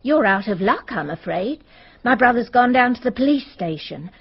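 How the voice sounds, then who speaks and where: A kindly, homely woman in a radio mystery, brisk and matter-of-fact. Her voice is husky and moves around a lot in pitch. The recording is slightly muffled. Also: animated. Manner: chatty, matter-of-fact, colloquial. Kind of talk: radio drama acting. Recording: dull radio drama recording.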